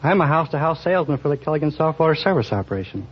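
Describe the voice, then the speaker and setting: A man's voice: on the high side, expressive, very fast. An American man from Chicago giving plain answers as a contestant on a 1950s radio quiz. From Chicago, United States. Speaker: quiz show contestant, door-to-door salesman. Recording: dull vintage 1950 radio broadcast, faint noise.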